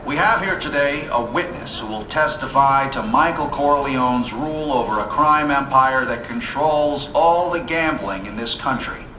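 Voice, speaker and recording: A man's voice: animated, measured pace, hardly any pauses, close to an everyday voice. An actor playing a grave committee chairman in a game cutscene, formal and oratorical. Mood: official, grave. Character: grave committee chairman presiding over hearing. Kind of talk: video game cutscene acting. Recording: echoey hearing room with noisy rumble.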